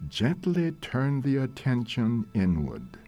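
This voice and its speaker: A man guides a meditation with a soft-edged, mellow tone and very slow pacing. His phrases are gentle and measured, separated by long pauses, and his pitch moves expressively up and down. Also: wildly swooping pitch. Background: soft meditation music.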